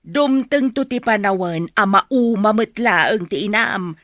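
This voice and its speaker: A woman's voice: medium pitch, a little slow, fairly bright tone, no echo, studio quality, close to an everyday voice. A woman acting in an Ilocano radio drama as a blunt, disapproving elderly grandmother.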